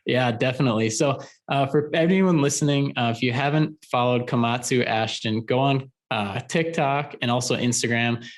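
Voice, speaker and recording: Upbeat American podcast host, mid-pitched man. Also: moderate pace, few pauses. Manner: enthusiastic, repeats words when starting sentences. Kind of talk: upbeat interview hosting.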